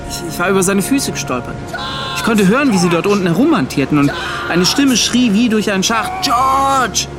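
An actor in a radio play voicing a bitter, shaken young seaman, giving his account in halting, broken phrases. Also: high voice, expressive, loud music behind the voice. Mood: agitated. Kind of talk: radio play acting, first-person account.

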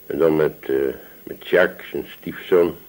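Deep, husky village police sergeant. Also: male voice, steady tone, measured pace. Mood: obliging, chatty. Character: obliging, gossipy male village police sergeant. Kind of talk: radio drama acting.

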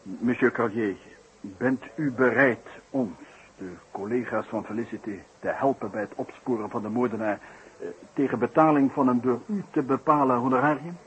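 A solemn male circus ringmaster voiced by an actor makes formal, courteous speeches with halting phrases, in a medium-pitched voice. The old radio play sound is dull, with faint noise. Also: natural voice. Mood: formal, earnest. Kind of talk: 1960s Dutch radio drama acting.

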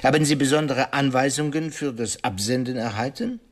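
An actor playing a shrewd, courteous detective in a radio play, speaking formally and deliberately with French phrases and musing hesitations. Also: male voice, mid-range voice, lightly acted. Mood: methodical questioning. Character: shrewd, courteous male detective, pensive and probing.

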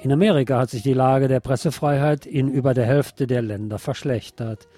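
Male radio presenter, mid-range voice, scripted delivery over clear background music. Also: mid-range voice, fairly even intonation, brisk, warm tone, no room sound. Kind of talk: scripted news reading, later live eyewitness report.

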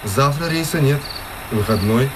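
An easygoing village bus driver played by a man in a radio drama. He speaks plainly and deferentially at a middling pitch, with clear insect noise and clicks around him. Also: lively intonation, unhurried, natural voice. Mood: patient, obliging. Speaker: actor. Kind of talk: radio play acting.

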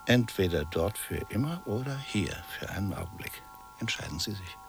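An actor playing a calm, reserved, quietly teasing portrait painter in a radio play, speaking in a measured, understated way. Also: male voice, on the low side, creaky, music in the background. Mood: calm, enigmatic. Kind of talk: German radio play acting.

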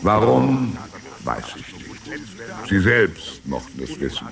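An actor playing Petrarch, a proud and reflective medieval scholar-poet, delivering a measured, rhetorical radio-play monologue. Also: male voice, low voice, big pitch swings, vocal fry, crowd noise, exaggerated voice. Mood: solemn, self-reflective. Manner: measured, deliberate, rhetorical, with emphatic swells and hushed endings. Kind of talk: solemn literary radio monologue, declaimed.

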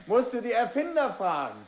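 An actor playing a calm, curious amateur detective in a 1950s radio drama, talking in a thoughtful, lightly teasing way. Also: male voice, very high voice, moderate pace, vocal fry, noisy background, exaggerated voice. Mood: cheerful.

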